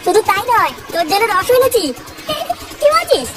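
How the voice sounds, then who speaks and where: Squeaky little cartoon guy, super fast crude cheeky slang, noisy background. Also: big pitch swings. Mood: cheeky, brash. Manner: crude, rapid slangy banter. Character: mischievous foul-mouthed young cartoon guy, squeaky and excitable. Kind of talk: comedy cartoon dialogue.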